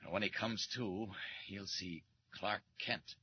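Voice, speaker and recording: A man's voice: on the low side, slow pace. A man playing a mild-mannered reporter with a secret heroic side in a 1940s radio serial, often hesitant and flustered. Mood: determined. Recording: dull old radio transcription with hiss.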